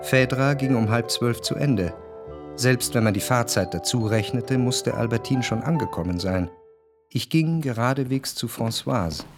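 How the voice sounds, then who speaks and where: An actor playing an introspective, yearning gentleman who narrates a literary radio drama in a measured, reflective way. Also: medium pitch, over music, lightly acted. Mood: composed.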